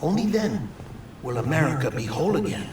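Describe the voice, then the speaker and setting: An actor playing an earnest scientist colleague in a video game, speaking in a measured, explanatory way. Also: male voice, on the high side, very animated. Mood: reassuring, earnest. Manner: measured, explanatory, deliberate phrasing. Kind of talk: video game cutscene acting. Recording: roomy, distant, noisy game audio.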